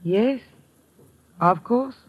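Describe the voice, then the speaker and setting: A woman's voice: on the high side, extremely slow, breathy edge, heavily acted. An actress playing a gracious, demure lady with polite, careful, formal diction in an old radio drama. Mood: apologetic, demure. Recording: dull vintage radio recording.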